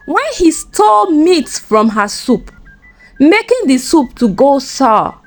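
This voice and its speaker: A woman narrating a scripted folktale in a dramatic, expressive storytelling manner. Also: high-pitched.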